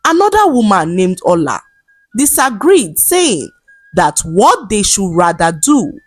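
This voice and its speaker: Expressive woman telling a folktale. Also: medium pitch, measured pace, breathy edge, no room sound, faint music behind. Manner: expressive, dramatic storytelling delivery. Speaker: storyteller. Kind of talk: scripted folktale narration.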